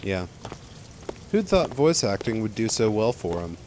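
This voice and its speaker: A man's voice: on the low side, very animated, measured pace, background noise. A man playing a dry, practical, slightly impatient young man in an amateur radio play. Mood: wry.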